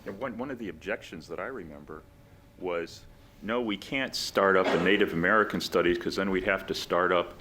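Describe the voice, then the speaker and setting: A man's voice: deep voice, a little fast, sharp tone, slight background noise. A man speaking as a panelist at a college alumni roundtable, talking in a conversational way with many fillers. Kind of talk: reflective panel discussion. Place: college event room.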